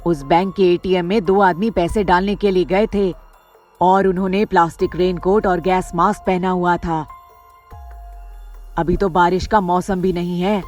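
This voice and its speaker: A woman's voice: lowish voice, darkish tone, voice pushed down, close to an everyday voice. A woman voicing a serious male investigator who reasons step by step in a story. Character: serious, deductive male investigator, urgent and decisive.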